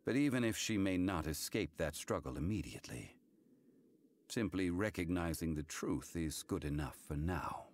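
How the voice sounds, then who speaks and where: An actor playing a calm, solemn ancient man, a wise former god in a video game, who speaks in a formal, measured, reflective way. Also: low voice. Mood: calm, grave. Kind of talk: English game voice acting, story dialogue.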